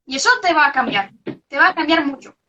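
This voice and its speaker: A young woman from Belgium with a high, bright voice, speaking Spanish as a second language with plenty of fillers and restarts. The sound is dull and lo-fi, like audio from an online video call. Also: expressive, fast talker, a little hiss or hum. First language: not Spanish. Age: young adult. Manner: hesitant, many fillers and restarts. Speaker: researcher guest. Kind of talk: casual interview over a live video stream.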